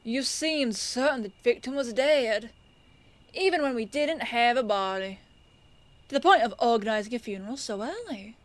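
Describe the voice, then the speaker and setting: A female detective, acted by a woman in an audio roleplay, speaking in a fairly high voice with no room echo. She is composed and measured, firm yet kindly, with a folksy, knowing way of talking. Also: animated, lightly acted. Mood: smug, explaining.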